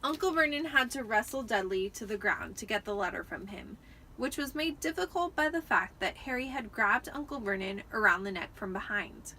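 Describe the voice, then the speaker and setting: A woman reads a story aloud dramatically, slightly drunk. Her voice is fairly high and very bright, with faint noise behind it. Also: animated, moderate pace, poor audio. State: tipsy from wine. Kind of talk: dramatic amateur audiobook narration.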